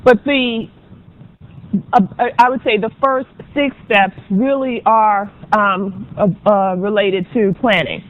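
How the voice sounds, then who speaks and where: Loud chatty woman lecturing a class. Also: medium pitch, fairly even intonation. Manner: loud, chatty, brisk teacher with frequent ums. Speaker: auditing professor. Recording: dull lo-fi lecture recording.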